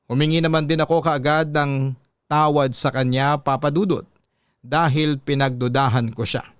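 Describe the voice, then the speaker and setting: A man with a Filipino accent reading a listener's story as dramatic, measured radio narration. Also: a little high, clear, resonant tone, no room sound.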